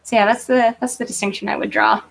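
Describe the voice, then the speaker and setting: A woman's voice: a little high, fairly even intonation, fast, vocal fry. A woman chatting casually as a guest author in an interview, informal and self-deprecating in manner.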